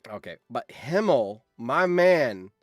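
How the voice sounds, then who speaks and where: Animated man commentating, lively swinging pitch. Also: slow pace, dry recording, soft background music. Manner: animated, breaks into surprised exclamations. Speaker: streamer host. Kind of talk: excited live commentary, reading out game results.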